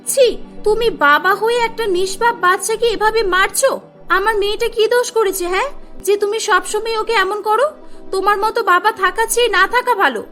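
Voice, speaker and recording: Woman acting a high-voiced long-suffering mother. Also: sharp tone. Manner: emotional, dramatic. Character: long-suffering, protective young mother of a little girl. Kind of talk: cartoon story character voice.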